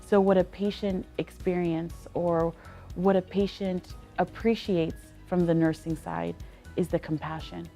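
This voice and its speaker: A woman's voice: lowish voice, steady tone, measured pace, mellow, soft background music. A woman nurse explaining earnestly what patients expect from nursing care. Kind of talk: interview testimony.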